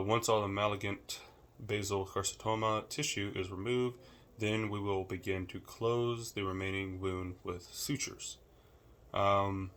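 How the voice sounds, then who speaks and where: A young man with a deep voice records a class assignment, talking casually and thinking aloud with many fillers. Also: fairly bright tone. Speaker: student. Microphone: camera held or set nearby.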